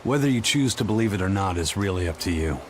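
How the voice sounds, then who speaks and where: A man playing a gruff, stoic cursed pirate in a video game, blunt and deadpan. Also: sits low, quick pace. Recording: game music under the voice.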